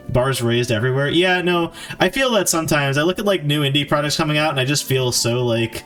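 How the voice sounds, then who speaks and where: A man chatting casually on a live stream while he codes, thinking aloud in a halting, informal, self-deprecating way. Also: fairly high pitch, a bit bright, soft background music. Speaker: game developer streamer.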